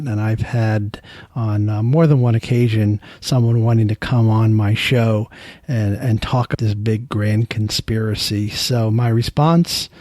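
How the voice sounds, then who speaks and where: A man who podcasts, leaving a recorded message in a measured, earnest way. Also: on the low side, warm tone, dry recording, studio quality.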